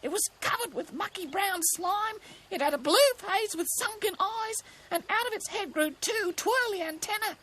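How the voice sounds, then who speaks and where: A woman's voice: extremely high pitch, animated, fairly quick, a bit bright, pitched above the performer's own voice. A woman voicing a frightened, shuddering little kookaburra in a children's story. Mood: horrified. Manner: fearful, shuddering. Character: Cookie, frightened kookaburra, jittery small bird.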